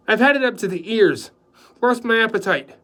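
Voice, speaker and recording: A man's voice: high voice, lively intonation, normal speed, fairly bright tone, pitched above the performer's own voice. A man voicing a temperamental, conceited potter who speaks huffily. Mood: huffy. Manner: huffy, pompous.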